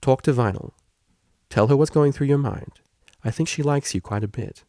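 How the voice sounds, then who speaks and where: Kindly storybook stallion tutor, played by a man: informal, encouraging, playful, a touch gravelly, dry recording. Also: mid-range voice, normal speed, mellow, no room sound, voice pushed up, close to an everyday voice. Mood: encouraging, firm. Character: energetic kindly male pony tutor, understanding, playful. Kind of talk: fan fiction audiobook character reading.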